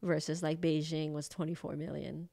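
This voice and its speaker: A woman's voice: low voice, little pitch movement, normal speed, vocal fry, warm tone. A woman, co-hosting a podcast and chatting casually about her path into acupuncture, with lots of fillers and laughs. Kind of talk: casual chat.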